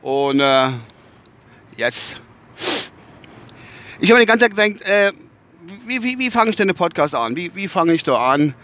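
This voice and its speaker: A man with a Westphalian accent talks through his podcast from inside a moving car. His voice sits slightly high for a man. He rambles, restarts sentences and hunts for words, with the odd casual swear. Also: big pitch swings, a little slow, long pauses, fairly bright tone. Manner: rambling, searching for words, many fillers and restarts. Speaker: podcast host. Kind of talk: casual spoken podcast monologue. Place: inside a car while driving.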